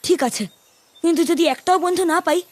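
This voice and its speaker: A woman's voice: very high voice, fast, darkish tone. A woman voicing a mischievous, headstrong young cowherd boy who whines and argues, with music playing behind. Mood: sulky. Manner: whiny, pleading back-talk.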